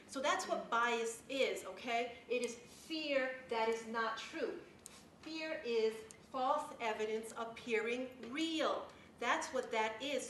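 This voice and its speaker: A woman's voice: fairly high pitch, fairly bright tone. A woman leading a workshop, speaking emphatically to a group, stretching key words and checking in with her listeners. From Brooklyn, New York, United States. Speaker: diversity workshop trainer. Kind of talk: spontaneous workshop talk to a group. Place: indoor meeting room with a group. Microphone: distant room microphone. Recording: roomy, distant microphone, background noise.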